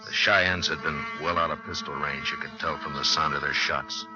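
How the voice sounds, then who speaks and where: Deep-voiced, stern frontier marshal. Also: male voice, fairly even intonation, moderate pace. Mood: somber. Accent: American. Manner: grave, terse, authoritative. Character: stern, weary male frontier marshal, gruffly commanding. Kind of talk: 1950s radio western acting and first-person narration. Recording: dull 1950s radio recording, music behind.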